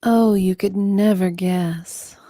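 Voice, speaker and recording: A woman voicing a tiny, sassy and devoted storybook fairy in a whispery, drawn-out, theatrical way. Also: medium pitch, fairly even intonation, warm tone, dry recording, studio quality, lightly acted. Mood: excited.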